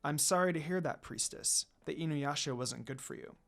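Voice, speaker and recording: A man's voice: fairly high pitch, moderate pace, creaky, a bit bright. Man reading as a stately demon mother. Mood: resigned, musing. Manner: formal, questioning. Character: stately demon noblewoman, probing but softening mother. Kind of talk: storybook character reading.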